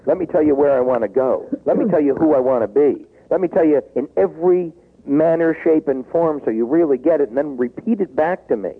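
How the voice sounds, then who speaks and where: A man in his sixties talking in a taped interview, acting out quoted pleas and dropping low when he turns reflective. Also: fairly quick, breathy edge. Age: 60s. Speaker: business author guest. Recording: tinny phone-like tape.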